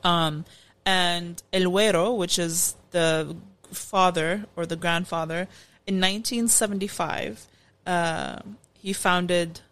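Female podcast co-host, American accent, slightly low voice, informal explainer in relaxed conversational chat. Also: slow pace. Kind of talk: casual food podcast chat.

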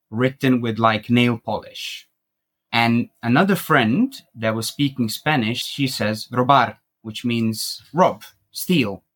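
Man from croatia, young adult, podcast guest, animated storytelling, mid pitch. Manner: animated, laughs at himself, acts out quotes. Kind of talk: casual storytelling.

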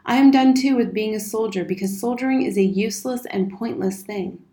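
A woman's voice: fairly high pitch, fairly quick, dark tone, lightly acted. A woman voicing an earnest, stubborn, grieving boy in a storybook reading. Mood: firm, defiant. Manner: earnest, firm, plain-spoken. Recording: roomy, echoing indoor sound.

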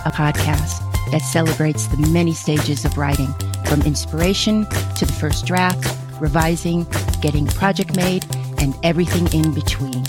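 A woman hosting a podcast, chatting casually with her co-host and laughing easily. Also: low voice.

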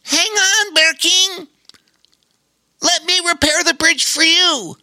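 A man voicing a friendly, frank male spider in a storybook, talking eagerly in a high squeaky voice. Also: animated, slow pace, sharp tone, dry recording, studio quality, exaggerated voice. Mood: excited. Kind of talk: storybook reading.

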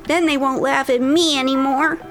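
A woman's voice: high voice, fairly even intonation, normal speed, faint music behind, voice pushed up, close to an everyday voice. A woman voicing a nervous, stammering boy pirate in a children's story, earnest about seeming fierce. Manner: stammering, faltering over words.

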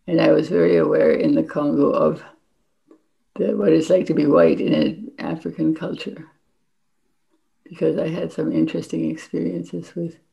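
A woman's voice: low voice, vocal fry, dark tone. An older woman speaking reflectively as a guest in an interview. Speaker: interview guest, memoir author and former NGO worker. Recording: dull, lo-fi recording.

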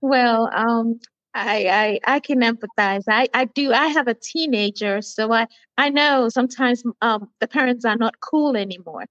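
A woman in her forties hosting an interview show, friendly and halting with many fillers. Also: fairly high pitch, fairly bright tone, dry recording, muffled recording. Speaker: podcast host.

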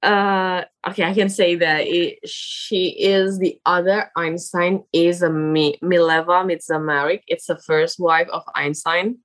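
Slow-talking woman, non-native English speaker. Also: fairly low pitch, steady tone. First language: Indonesian. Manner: halting, searching for words with many fillers. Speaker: guest, English learner. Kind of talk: casual interview conversation.

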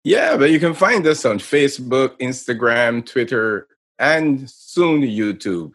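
A man's voice: medium pitch, sing-song, slow, a bit bright. Easygoing male podcast co-host. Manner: easygoing, laughing along. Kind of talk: casual podcast banter.

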